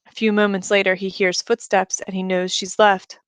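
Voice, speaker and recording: Woman, audiobook-style narration, mid-pitched voice, scripted novel reading, dry recording. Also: flat delivery, normal speed, smooth, no echo. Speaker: reader of an audiobook.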